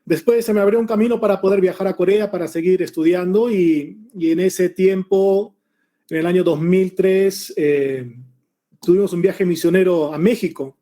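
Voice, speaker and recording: A man in his forties, born in Korea and raised in Argentina, speaking Spanish with a mix of Argentine and Mexican accent, teaching a Bible lesson over a video call in a relaxed, chatty way with many hesitations. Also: sits high, normal speed. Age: 40s.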